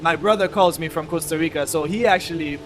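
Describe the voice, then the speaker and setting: A man in his thirties from Haiti, a guest on a podcast, telling his story in a relaxed, chill way. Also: on the high side, quick pace, soft background music. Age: 30s. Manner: laid-back, chill, laughs easily. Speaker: guest, YouTuber. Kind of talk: casual interview storytelling.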